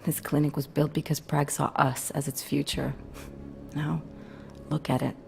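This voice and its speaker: A woman's voice: low voice, flat delivery, normal speed, creaky, soft background music. An actress playing a hardened, wary female resistance operative in a video game, wry and world-weary. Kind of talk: video game cutscene acting.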